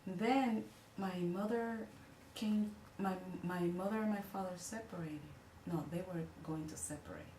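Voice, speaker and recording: A woman from the Dominican Republic with a Dominican accent, recounting her family history in a halting way, often repeating herself as she searches for words. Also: mid-range voice, mellow, far from the mic. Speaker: interviewee. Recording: echoey room, voice off-mic with faint noise.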